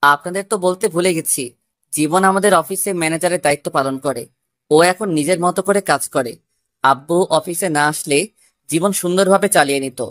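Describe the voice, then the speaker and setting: A woman's voice: lowish voice, moderate pace, no room sound, studio quality. A woman narrating a scripted first-person love story.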